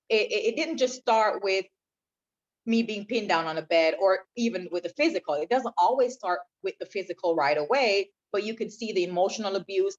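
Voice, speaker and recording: A woman from Jamaica, a podcast guest telling her own story in an earnest, reflective way. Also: medium pitch. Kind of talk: personal testimony in interview. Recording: dull lo-fi sound.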